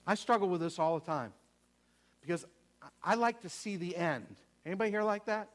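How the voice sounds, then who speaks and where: A man's voice: high voice, expressive, a little fast. A man preaching a sermon emphatically, repeating phrases and putting questions to the congregation.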